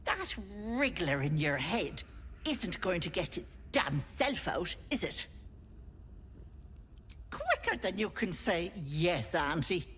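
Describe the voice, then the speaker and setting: A slow, theatrical old hag who swoops up and down as she coaxes and scolds like a bossy auntie. She sounds sugary and sly, a fully acted fantasy game villain. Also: lowish voice, big pitch swings, fairly bright tone, slight background noise, exaggerated voice. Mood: sly coaxing. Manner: cloyingly sweet pet names turning to scolding threats. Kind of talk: theatrical video game character acting.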